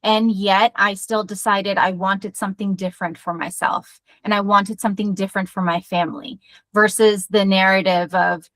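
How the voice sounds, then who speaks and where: A woman's voice: medium pitch, steady tone, normal speed, fairly bright tone, dry recording. A woman therapist hosting a podcast, talking in an upbeat, chatty way over a video call. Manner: upbeat, chatty, laughs easily. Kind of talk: casual interview chat.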